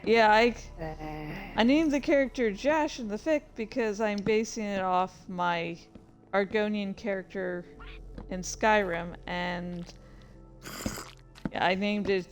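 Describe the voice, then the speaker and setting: A woman's voice: medium pitch, fairly even intonation, takes it slowly, soft background music. A woman chatting casually and hesitantly, thinking aloud as she gives meandering commentary during a game. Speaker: fan fiction author and streamer. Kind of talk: casual rambling commentary while playing a game.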